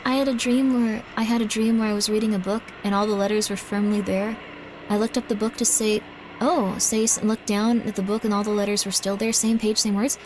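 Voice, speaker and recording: A woman's voice: mid-range voice, little pitch movement, brisk, a little mellow, slight background noise, poor audio. A woman live-streaming a game, chatting in a bubbly way and often thanking her viewers. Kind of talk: casual live-stream chat while gaming.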